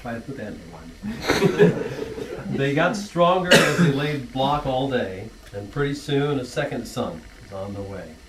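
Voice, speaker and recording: A middle-aged man reads his own rhyming poem aloud, earnest, pausing now and then with a soft laugh. His voice sits at an ordinary pitch. He is heard from across a church hall on a camcorder, with faint room noise behind him. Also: recorded from a distance. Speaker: son reading an anniversary poem.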